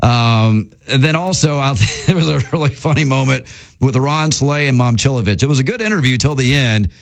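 Guy hosting sports radio, chatty and lively, average pitch. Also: gravelly. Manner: lively, also reads ad copy quickly. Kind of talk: casual sports talk radio chat.

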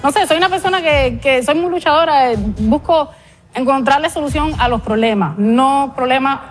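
A woman in her late thirties with a slightly high voice speaks in a casting interview. She is earnest and a bit halting, slips in English words, and has soft music behind her. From born in Cuba, raised in the US from age six. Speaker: pageant contestant, paralegal.

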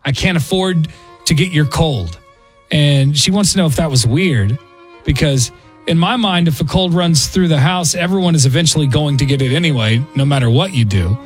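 A man's voice: a little high, normal speed, faint music behind, low-quality recording. A man hosting a radio show, chatting and joking in a rambling way. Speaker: radio morning show host. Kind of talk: casual radio banter. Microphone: studio microphone.

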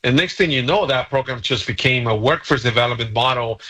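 A man in his forties from Guatemala, a guest on a radio interview, talking about his nonprofit in an earnest, steady way. His voice is bright and forceful, at an average pitch. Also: brisk, slightly rough.

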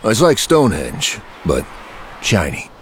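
An actor playing a dejected motorcycle rider stranded on a trip, in a radio ad sketch. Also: male voice, lowish voice, wildly swooping pitch, unhurried, slight background noise. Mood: matter-of-fact. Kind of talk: scripted radio ad dialogue.

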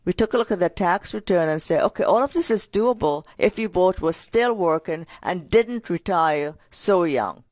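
A woman co-hosting a financial radio show, telling client stories in an energetic, talkative way. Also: fairly low pitch, moderate pace, hardly any pauses, dry recording. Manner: energetic, storytelling with client anecdotes. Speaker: radio co-host, financial advisor. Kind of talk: casual radio finance talk.

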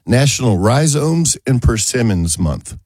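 A man's voice: mid-range voice, very animated, measured pace, a little mellow, slightly rough, no room sound. A man hosting a gardening radio show while fighting a head cold, chatting in a rambling, joking way in a studio. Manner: rambling, jokey, chuckles at his own quips. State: has a head cold, stuffy. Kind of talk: casual early-morning radio chat.

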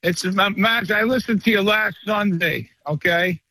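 Male caller, seventies. High, sharp voice with a gravelly edge, effusive and halting, casual phone-in chat. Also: dry recording, low-quality recording. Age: 70s. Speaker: caller to a radio show.

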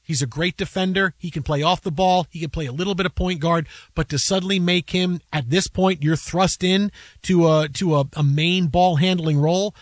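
Male sports host, raised pitch, dry studio sound, emphatic rapid-fire analysis. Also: no room sound. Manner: emphatic, rapid run-on argument with repeated "right?". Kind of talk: basketball analysis chat.

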